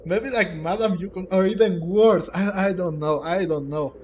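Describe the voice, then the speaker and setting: A man giving casual let's play commentary, haltingly, repeating words as he searches for phrasing. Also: high-pitched, a little mellow, dull audio, a little hiss or hum. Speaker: gaming streamer.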